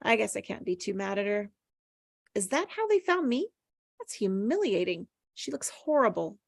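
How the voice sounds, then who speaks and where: A woman's voice: medium pitch, sing-song, normal speed, vocal fry, breathy edge, no room sound, studio quality, full character voice. A woman playing a plucky, quirky and defensive young woman at a script table read. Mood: wry, embarrassed.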